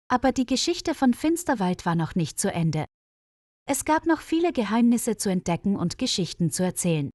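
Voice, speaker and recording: Female storyteller for learner audio, animated intonation, dry close sound, scripted narration. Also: medium pitch, no echo.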